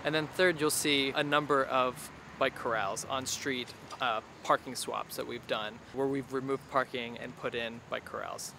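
A man's voice: medium pitch, fairly even intonation, normal speed, creaky, background noise. A man who advocates for cycling, steadily listing points in an interview. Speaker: bike advocate.

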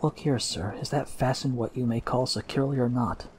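A man's voice: medium pitch, steady tone, a little fast, a little hiss or hum, voice pushed up, close to an everyday voice. A man voicing a nervous, servile male ship's steward who talks in a common dialect, drops his h's and calls everyone sir. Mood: pleading.

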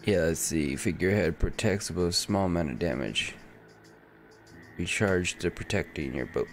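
A man in his thirties casually commenting while he plays a video game, often reading item text aloud and muttering to himself, with an unusually deep bass voice. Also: normal speed, soft background music. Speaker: gaming streamer. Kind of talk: casual let's-play commentary. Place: home streaming room, indoors.

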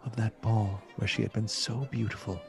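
An actor as the storyteller of a gothic radio play, narrating theatrically and deliberately in a gravelly, husky voice. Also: male voice, on the low side, steady tone, over music. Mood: somber. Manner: deliberate, theatrical, savoring repeated words. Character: male storyteller of a gothic tale. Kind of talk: dramatic scripted radio narration.

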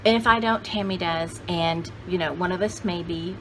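A woman's voice: on the low side, moderate pace, noisy background. A woman in her sixties chatting casually as a vlog host, with a folksy, jokey, rambling manner. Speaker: host of a vintage haul video channel. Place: indoor home studio room with shelves.

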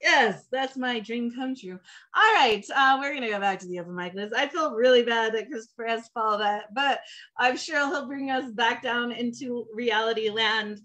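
Woman, open mic host, chatty, rambling, bright tone, video call. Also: on the high side, normal speed. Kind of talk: casual hosting.

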